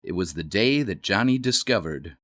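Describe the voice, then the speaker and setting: A man reading a children's storybook aloud. He plays up the words with dramatic emphasis, his pitch leaping and dropping all through. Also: lively intonation, moderate pace, a bit bright. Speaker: storybook reader. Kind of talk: read-aloud storybook narration.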